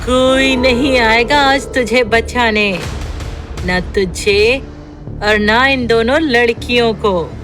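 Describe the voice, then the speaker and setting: A woman voices a theatrical female ghost from a horror audio drama, high and slow, with a Rajasthani accent. Faint music plays under her drawn-out lines. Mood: taunting menace. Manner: theatrical, slow, taunting or pleading. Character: vengeful Rajasthani ghost woman, once a frightened bride.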